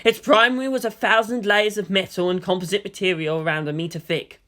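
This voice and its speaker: A woman's voice: mid-range voice, fairly even intonation, brisk, a bit bright. A woman reading fan fiction aloud as a dramatic, scripted story narration.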